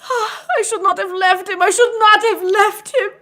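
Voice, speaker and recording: A woman's voice: very high-pitched, steady tone, moderate pace, sharp tone, voice pushed up. A woman voicing an anguished aristocratic French countess in a storybook, formal and emotional, with a French accent. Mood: distraught, tearful. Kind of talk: dramatized storybook reading.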